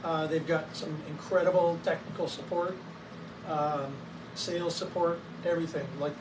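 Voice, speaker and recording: A man with a hoarse voice from a cold, casually explaining his clinic's equipment to camera, with many fillers and an occasional cough. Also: fairly high pitch, little pitch movement, normal speed. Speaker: wellness clinic owner. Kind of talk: casual educational talk to camera. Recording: distant mic with clear background noise.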